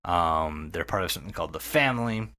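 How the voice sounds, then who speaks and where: Male podcast host, animated pitch swings, casual sweary storyteller, enthusiastic about the material. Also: fast talker. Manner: sweary, enthusiastic, with repeated words. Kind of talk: casual storytelling recap of an internet horror story.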